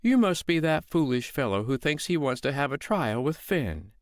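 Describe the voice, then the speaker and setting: A man doing a high, coaxing shrewd mother. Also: sing-song, moderate pace, no echo, studio quality. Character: calm, shrewd woman, a foster mother.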